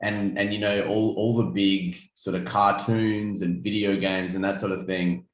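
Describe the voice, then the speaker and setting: A young Australian man talks in a low, easygoing voice, pausing often on ums and starting his sentences over. The sound is echoey, as if recorded in a bare room. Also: little pitch movement. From South Australia. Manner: laid-back, many ums and restarts. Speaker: guest artist. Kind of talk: casual interview chat.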